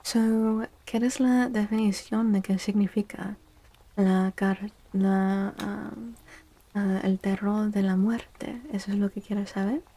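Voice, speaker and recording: A woman livestream host speaking softly in an ASMR style, hushed and gentle, often slipping into a near-whisper close to the microphone. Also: mid-range voice, fairly even intonation, unhurried. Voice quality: soft, breathy. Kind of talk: soft-spoken ASMR livestream chat.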